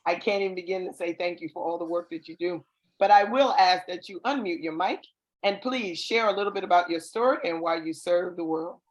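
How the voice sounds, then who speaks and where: A woman's voice: fairly low pitch, fairly quick, rough recording. A woman hosting an online awards ceremony over a video call, reading introductions effusively and stumbling over some words. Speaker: awards show host.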